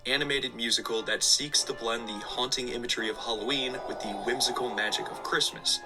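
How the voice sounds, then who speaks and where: A man's voice: medium pitch, pushed and bright, poor audio. A man narrating a scripted video essay with casual asides. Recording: background music under the voice. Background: music.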